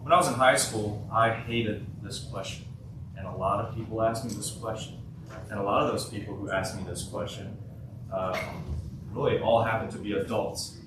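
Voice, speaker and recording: Man speaking to youth in a booming echoey hall. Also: recorded from a distance, background noise. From South Korea. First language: Korean. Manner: conversational, asks the audience many questions. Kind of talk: youth workshop talk.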